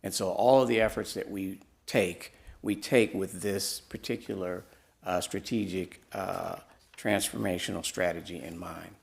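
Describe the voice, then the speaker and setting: Man, soft-spoken, Midwestern accent, hesitant fillers, very low voice, gravelly. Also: fairly even intonation, measured pace. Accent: Midwestern American. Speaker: downtown nonprofit presenter. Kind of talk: public presentation at council meeting. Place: city council chamber. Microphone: podium microphone.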